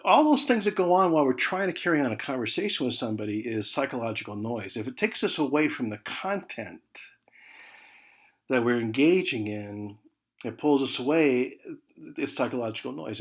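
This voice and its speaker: A man with an American accent giving an informal online college lecture, conversational, stressing key words and then trailing off softly. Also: mid-range voice, lively intonation, normal speed. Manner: conversational, emphatic key words trailing off into soft check-ins like "okay". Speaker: college communication instructor.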